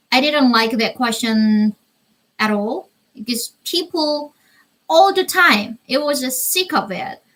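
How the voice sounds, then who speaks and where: A young woman from North Korea with a Korean accent, hosting a casual live stream Q&A from her bedroom, speaking halting English and searching for words. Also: mid-range voice, fairly bright tone, low-quality recording. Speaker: livestream host. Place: small bedroom with string lights.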